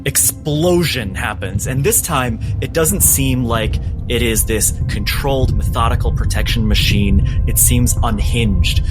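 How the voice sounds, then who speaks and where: A man working as game master, narrating a tabletop game in a theatrical, emphatic way. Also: mid-range voice.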